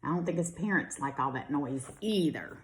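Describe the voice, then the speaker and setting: A woman's voice: deep voice, expressive, normal speed, a little hiss or hum. A woman with an American accent, a kindergarten teacher reading a story aloud to young children and explaining it simply as she goes.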